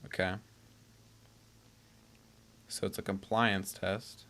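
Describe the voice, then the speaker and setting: A man's voice: low voice, little pitch movement, slow, heavy background noise. A man hosting a reaction video, talking casually in informal, slangy speech. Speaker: YouTube reaction host.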